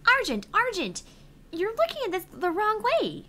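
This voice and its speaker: Woman voicing a bright, high-pitched, perky app assistant. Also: huge pitch swings, normal speed, slight background noise, exaggerated voice. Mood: encouraging. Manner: chirpy, encouraging, playful. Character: perky, reassuring female phone app assistant. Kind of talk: reading visual novel game dialogue aloud.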